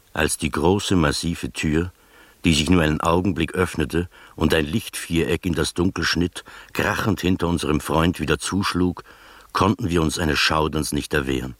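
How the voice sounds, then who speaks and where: An actor playing an earnest, anxious man who tells a detective tale in a measured, articulate way for a radio drama. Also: rumbling low, a bit bright, dry recording. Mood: measured storytelling. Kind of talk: radio drama narration, literary storytelling.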